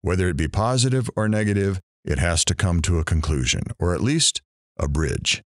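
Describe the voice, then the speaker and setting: Guy playing a wistful radio host, really deep voice, goes up and down a lot, dry sound. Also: lively intonation, moderate pace, dry recording. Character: heartfelt, wistful male radio talk show host. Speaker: radio talk show host. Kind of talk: radio talk show hosting in audio drama.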